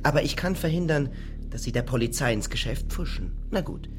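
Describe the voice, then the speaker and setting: An actor playing a condescending provincial police lieutenant in a radio crime drama, mock-polite with veiled menace. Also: male voice, medium pitch, expressive, a little fast, music in the background, lightly acted. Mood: mocking, menacing.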